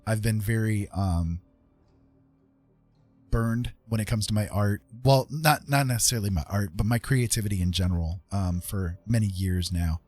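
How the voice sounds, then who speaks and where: A man with a lowish, husky voice talks casually on a live art stream. He thinks aloud in a hesitant, self-effacing way, slipping into gentle tutorial mode. Faint music plays behind him. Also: steady tone, normal speed. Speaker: streamer and digital artist.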